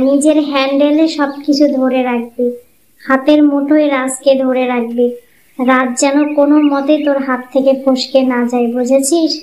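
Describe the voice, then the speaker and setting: A woman's voice: high voice, darkish tone, natural voice. A woman voicing a conniving elder sister in a cartoon, giving bossy, scolding advice. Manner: scolding, instructive. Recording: muffled lo-fi audio.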